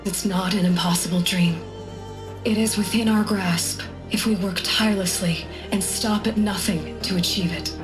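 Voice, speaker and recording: A woman's voice: mid-range voice, steady tone, normal speed, lightly acted. An actress playing a visionary female scientist in a video game, giving an earnest, rousing speech. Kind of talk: recorded speech in a game cutscene. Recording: game audio with loud music.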